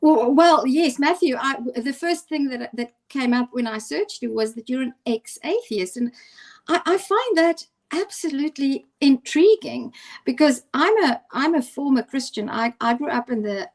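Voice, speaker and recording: A woman's voice: high voice, lively intonation, normal speed, a little mellow. A woman from South Africa with an Afrikaans accent, a teacher chatting casually on a video call and often restarting her sentences. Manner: hesitant, many restarts and fillers. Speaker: teacher and co-host.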